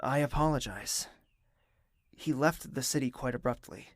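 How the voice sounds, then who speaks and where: An actor playing a young male prince who is thoughtful and reserved, speaking in a measured, formal and courteous way. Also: mid-range voice, no room sound, studio quality, lightly acted. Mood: grave. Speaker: narrator and lead character. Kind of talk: audio drama acting.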